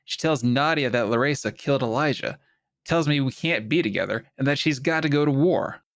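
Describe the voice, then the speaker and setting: A man's voice: medium pitch, a little fast, no echo, studio quality, natural voice. A man playing an earnest, troubled doctor in a science fiction audio drama, speaking with urgent, pleading insistence. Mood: troubled, insistent.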